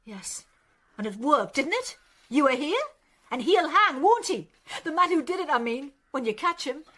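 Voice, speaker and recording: A shrill, overwrought, vengeful spinster. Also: female voice, animated, moderate pace, bright, forceful tone. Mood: defiant. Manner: overwrought, self-righteous. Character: bitter, vengeful, pious unmarried sister, fervent and wounded. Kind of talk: British radio drama acting.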